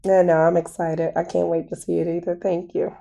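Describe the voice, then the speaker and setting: A woman hosting a podcast, talking in a casual, animated way. Her voice is naturally raspy and hoarse from a cold. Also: on the low side, brisk. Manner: animated, storytelling with "girl" asides. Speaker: podcast host. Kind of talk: casual chat.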